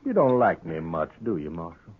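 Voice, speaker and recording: An actor playing a sly, brash saloon-owning gambler who needles others in a Western American accent, in a 1950s radio western. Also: male voice, deep voice, very animated, moderate pace, breathy edge, phone-quality sound, heavily acted. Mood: needling, defiant. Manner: cocky, needling, talkative. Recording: thin old radio broadcast recording.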